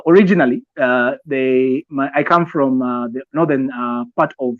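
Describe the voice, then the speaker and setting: A man from Zambia with a Zambian accent, giving conversational advice as a podcast co-host, explaining deliberately and haltingly with repeated phrases. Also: medium pitch, dry recording, narrow, tinny audio. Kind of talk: conversational advice talk with personal examples.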